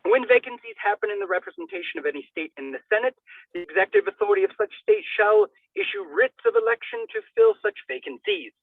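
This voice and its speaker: Theatrical high-pitched man, thin phone-like sound. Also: huge pitch swings, fast, gravelly, dry recording. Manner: theatrical, declamatory delivery with emphatic swells. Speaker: reader. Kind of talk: dramatic reading of a legal document.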